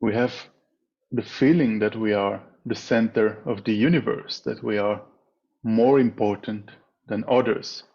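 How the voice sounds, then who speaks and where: A man leading a guided meditation as a teacher, speaking in a measured way with pauses between short phrases over a slightly dull video call. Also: fairly low pitch, steady tone. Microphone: video call microphone.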